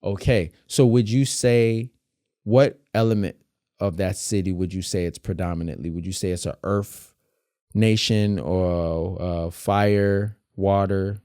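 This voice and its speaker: Man, podcast guest, low warm voice, dry close mic, animated and emphatic delivery. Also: measured pace, clear, resonant tone, no room sound. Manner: animated and emphatic, builds points through vivid comparisons. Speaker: dance teacher and battle judge, guest. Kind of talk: casual conversational interview.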